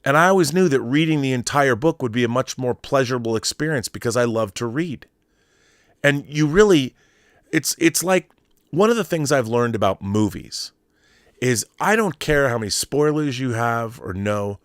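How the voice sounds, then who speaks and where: A man's voice: mid-range voice, expressive, normal speed, dry recording, studio quality. A middle-aged American man giving casual, opinionated commentary as a show host, rambling and emphatic, with some swearing.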